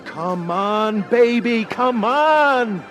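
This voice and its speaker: A man's voice: very high voice, expressive, drawn-out words, full character voice. An actor playing a bold, cocky male missile base captain with a swaggering, commanding manner in an audio adventure. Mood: urgent, shouting. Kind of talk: children's audio adventure acting. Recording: music bed under voice. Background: music.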